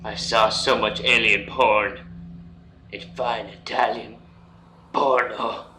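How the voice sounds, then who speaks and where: A man's voice: on the low side, steady tone, takes it slowly, pushed and bright. A man giving crude, irreverent joking commentary over a game, with mock-dramatic outbursts. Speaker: let's play host. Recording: roomy, faint background noise.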